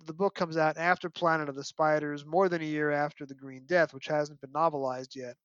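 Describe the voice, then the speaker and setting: A man's voice: a little high, fairly even intonation, brisk, a bit bright, no room sound. A man giving an articulate, detailed analysis as a guest in a podcast discussion. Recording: dull, dry lo-fi audio.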